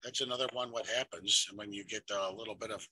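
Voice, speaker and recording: A man on a video call making a side comment that trails off and fades quieter. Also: on the low side, fairly even intonation, fast, husky, no room sound, low-quality recording.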